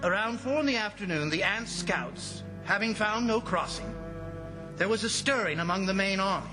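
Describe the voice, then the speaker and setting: A high-pitched, anxious commissioner played by a man recounts a nightmare in old-time radio drama style. The delivery is deliberate and full of suspense, set against loud music in a live performance. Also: lively intonation, low-quality recording. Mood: grim dread. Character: horrified, anxious commissioner recounting an ant invasion.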